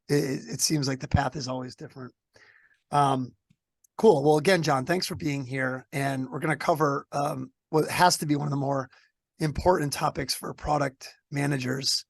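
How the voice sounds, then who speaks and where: A man's voice: medium pitch, vocal fry, no echo. A male podcast host with a scratchy voice from a cold, chatting casually and upbeat with many fillers. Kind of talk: conversational podcast interview.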